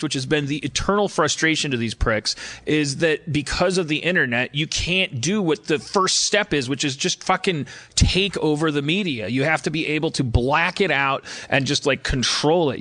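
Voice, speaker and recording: An American man hosting a comedic panel chat, rambling and halting, self-deprecating and sweary. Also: a little high, pushed and bright. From Wisconsin, United States. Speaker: podcast host.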